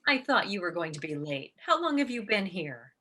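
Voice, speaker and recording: Radio play: ageing theatre diva, jealous and sardonic, forceful tone, dramatic swings in pitch. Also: female voice, big pitch swings, normal speed. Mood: needling. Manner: biting, theatrical, sarcastic delivery.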